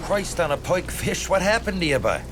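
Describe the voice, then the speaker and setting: A man's voice: high-pitched, very animated, normal speed, over music. A man playing a good-natured, lovesick soldier in a video game, folksy and rambling, with a Newfoundland accent. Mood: cheerful concern. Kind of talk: dramatic video game acting.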